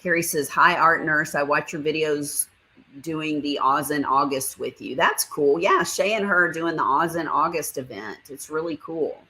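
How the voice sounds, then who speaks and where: A woman hosts a relaxed livestream. She rambles loosely as she shows her supplies. Now and then she reads viewer comments aloud and answers them. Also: sits low, moderate pace, low-quality recording. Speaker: livestream host. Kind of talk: casual livestream chat.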